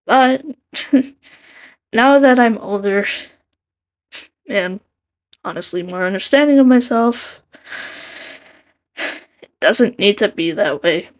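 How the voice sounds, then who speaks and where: Young woman, quiet voice, slow pace, earnest casual commentary. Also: mid-range voice, expressive. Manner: soft-spoken, earnest, laughs between thoughts. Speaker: art commentary video maker. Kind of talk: casual commentary over a speedpaint.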